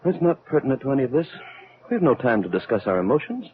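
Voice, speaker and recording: A man's voice: medium pitch, sing-song, fast, slight background noise, natural voice. Stern, gloomy older scientist played by a man, tinny radio. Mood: brooding. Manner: formal, exacting, admonishing. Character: stern, gloomy older male doctor and atomic scientist, cautious realist. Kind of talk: old radio drama acting. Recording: thin phone-like old radio recording.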